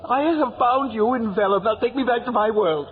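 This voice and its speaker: A man playing a frightened, pompous bookish gentleman, fretful and pleading, in a 1950s radio drama. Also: very high voice, normal speed. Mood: panicked pleading. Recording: dull, noisy vintage radio recording.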